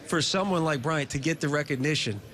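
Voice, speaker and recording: Male sports broadcaster, interviewing, wordy questions, slightly high voice. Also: fairly quick, a bit bright. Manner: asks long, detailed questions. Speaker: sports TV host.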